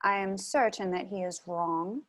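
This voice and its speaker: A woman's voice: mid-range voice, unhurried, a bit bright, close to an everyday voice. Woman playing curious, naive young noblewoman. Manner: innocent, inquisitive. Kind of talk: film script table read.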